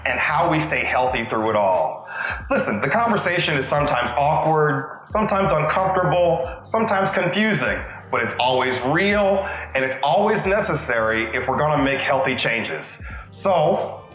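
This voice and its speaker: A man hosts a panel conversation in a measured, earnest way. His voice sits at a middle pitch. The room around him gives it a noticeable echo. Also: bright, forceful tone. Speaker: host. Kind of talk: scripted intro, then moderating a panel. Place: panel discussion setting. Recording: roomy echo.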